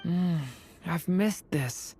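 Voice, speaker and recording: A woman's voice: on the low side, extremely slow, over music. A woman voicing an earnest, youthful dragon boy in a video game, with a slightly hesitant manner. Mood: gentle wonder. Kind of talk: video game character acting.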